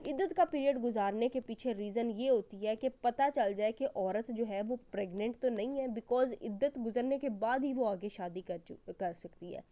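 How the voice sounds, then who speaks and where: A woman's voice: fairly high pitch, moderate pace, hardly any pauses, warm tone. A woman giving an explanatory law lecture, going step by step in a didactic, repetitive way with frequent check words. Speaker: law teacher. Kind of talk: explanatory lecture, reading legal text and explaining it.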